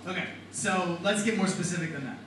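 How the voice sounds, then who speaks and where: A middle-aged man with a fairly high voice lectures a class, animated and quizzing his listeners. He sounds far from the microphone in a very echoey classroom. Noise hangs under the speech. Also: a little fast. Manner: animated, talks to the class with questions. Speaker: writing and rhetoric teacher. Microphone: far from the mic.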